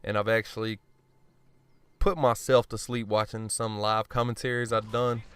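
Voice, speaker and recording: A man giving casual gameplay commentary, blunt and profane, rambling and joking at himself, often clearing his throat. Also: on the low side, fairly even intonation, normal speed. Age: adult. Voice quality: smooth voice. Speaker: gaming commentator.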